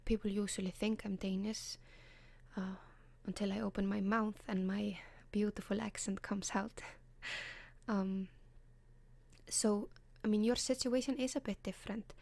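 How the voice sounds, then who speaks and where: An Icelandic-accented woman whispers advice close and hushed, in the manner of an ASMR video. Her tone stays level with little rise or fall, and she hesitates often with soft ums. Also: mid-range voice, steady tone, a little slow, vocal fry, no echo. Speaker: ASMR advice column host.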